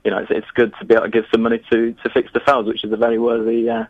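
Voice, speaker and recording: A man's voice: mid-range voice, monotone, rapid-fire, dry recording, phone-quality sound. A man, answering interview questions in a chatty, hesitant way with many restarts. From northern England. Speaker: guest, geography teacher. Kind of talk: remote interview.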